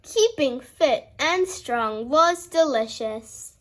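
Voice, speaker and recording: A woman reading a children's story aloud in an expressive storytelling style. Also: sits high, slow. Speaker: storybook reader.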